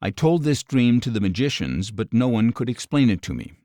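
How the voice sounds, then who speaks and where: A man reading scripture aloud as a troubled, commanding king of ancient Egypt, measured and grave. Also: medium pitch, animated, warm tone, no echo, studio quality, close to an everyday voice.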